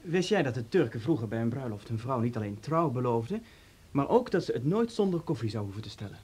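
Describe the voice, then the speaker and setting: An actor in a Dutch radio play voicing a suave, talkative police officer with an urbane, polite manner and sly menace. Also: male voice, medium pitch, big pitch swings, fast, a little mellow, husky. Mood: calm, conversational.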